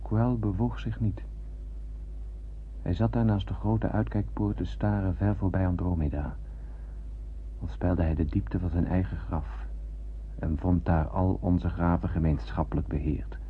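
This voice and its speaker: Een acteur die in een hoorspel een ernstig, bezorgd bemanningslid van een ruimteschip speelt en plechtig, poëtisch vertelt. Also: male voice, low voice, fairly even intonation. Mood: solemn, brooding. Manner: solemn, deliberate, poetic. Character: grave, troubled male spaceship crewman narrating his tale. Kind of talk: literary radio drama narration. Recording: dull old radio-play recording, faint hiss.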